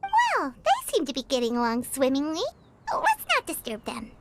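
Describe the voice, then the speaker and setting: An actress voicing a tiny, chatty female fairy companion in a game, talking in an excitable, chirpy way. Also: sits high, moderate pace, noisy background, exaggerated voice. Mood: bubbly.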